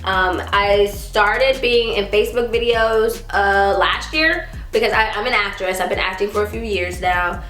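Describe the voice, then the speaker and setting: A woman in her twenties from Texas, chatting casually as she answers viewer questions, giggling often and sometimes trailing into a soft mumble. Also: mid-range voice, fairly even intonation, measured pace, few pauses. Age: 20s. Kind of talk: casual chat. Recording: roomy echo with faint music.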